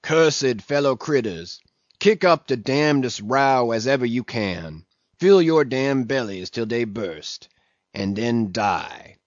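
A man's voice: medium pitch, big pitch swings, a little slow, dry recording, highs cut off. A man voicing a sulky ninety-year-old ship's cook in a storybook, muttering and grumbling in a heavy dialect. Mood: cursing.

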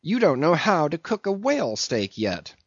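A man voicing a brash, bossy whaling ship's mate in a storybook, teasing and interrogating loudly. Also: on the high side, huge pitch swings, moderate pace, breathy edge, no echo, muffled recording, voice pushed up, exaggerated voice. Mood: stern teasing. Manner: loud, teasing, interrogating, swooping exclamations.

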